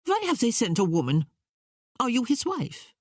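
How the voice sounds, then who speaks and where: Woman acting an imperious, mocking queen, husky voice. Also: a little high, huge pitch swings, moderate pace, dry recording, clean studio sound, pitched above the performer's own voice. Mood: jeering. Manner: haughty, scornful, declarative. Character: imperious, mocking middle-aged exiled British queen.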